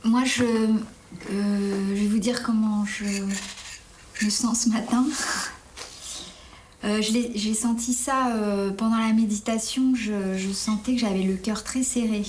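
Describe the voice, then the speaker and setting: Woman talking quietly in a circle, halting, nervous, a bit of hiss. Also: medium pitch, fairly even intonation. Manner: hesitant, soft, anxious. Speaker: retreat participant. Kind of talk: sharing feelings in a group circle.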